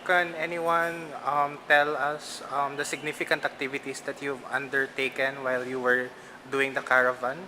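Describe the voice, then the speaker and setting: A man interviewing activists, asking his questions hesitantly with many pauses and fillers. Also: mid-range voice, little pitch movement, bright, forceful tone, rough recording. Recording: clear background noise.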